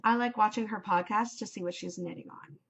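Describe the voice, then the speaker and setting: Loud, upbeat woman knitting vlogger. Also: medium pitch, fairly quick, gravelly, slightly rough. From Canada. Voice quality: loud, enthusiastic. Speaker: knitting podcast host. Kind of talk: casual chat. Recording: slightly dull home recording.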